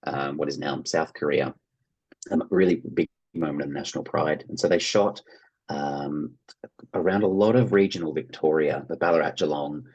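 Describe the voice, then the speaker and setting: An Australian man chatting casually as an interview guest, telling anecdotes in an animated way and acting out quoted remarks. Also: rumbling low, normal speed, husky, dull audio. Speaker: interview guest, actor and writer.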